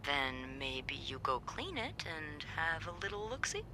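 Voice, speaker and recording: An actress playing a wry hotel coworker, speaking in a dry, knowing, teasing way. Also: female voice, deep voice, big pitch swings, measured pace, background noise. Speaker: hotel staff.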